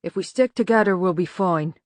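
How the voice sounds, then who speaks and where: A woman voicing an exasperated male gang leader in an audiobook, with gruff, impatient authority. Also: fairly low pitch, brisk, darkish tone, no echo, close to an everyday voice. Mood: firm. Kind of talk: audiobook character voice.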